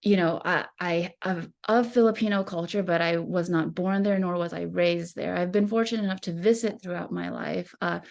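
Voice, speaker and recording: American woman, low creaky voice. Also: fairly even intonation, normal speed, few pauses. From West Texas, United States. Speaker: visual artist giving a talk. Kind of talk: informal slide presentation about own life and art.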